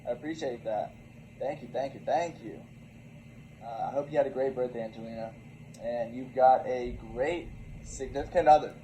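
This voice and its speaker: A man's voice: mid-range voice, normal speed, mellow. Male streamer, casual and upbeat, noisy clip with ambience behind. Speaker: livestreamer.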